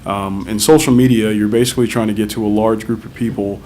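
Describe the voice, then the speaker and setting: A man with a deep voice giving a conference talk as a vendor, casual and full of ums. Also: fairly even intonation, fast talker, darkish tone, slight background noise. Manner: casual, many ums and uhs. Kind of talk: conference presentation. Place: conference room with audience.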